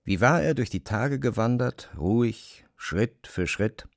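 A man narrating a literary classic in a measured, precise storytelling style. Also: mid-range voice, expressive, gravelly, no room sound, studio quality.

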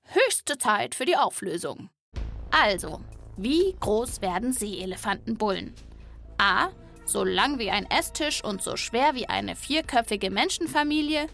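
High-voiced woman hosting for children. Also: animated, a little slow, creaky, fairly bright tone, soft background music. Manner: playful, addresses young listeners directly. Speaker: host of a children's animal podcast. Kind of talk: lively scripted narration for children.

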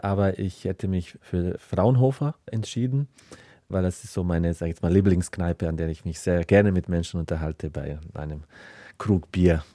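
A man's voice: sits low, warm tone, no room sound, studio quality. A middle-aged man from the former Yugoslavia, a writer talking reflectively in an interview in his study. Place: small study, dry sound.

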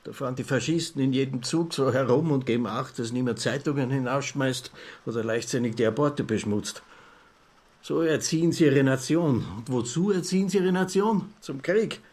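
An actor playing a garrulous Viennese newspaper editor and amateur philosopher in a radio drama, lecturing pompously in an Austrian accent with a husky voice. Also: male voice, mid-range voice, normal speed, a little mellow, close to an everyday voice. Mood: scornful, lecturing. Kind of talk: literary radio drama dialogue.

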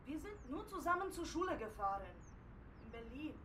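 A woman's voice: high-pitched, sing-song, moderate pace, bright, forceful tone, recorded from a distance, slight background noise, heavily acted. A woman playing a cold, wary young half-sister in a radio drama, curt and rejecting. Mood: cold, defensive.

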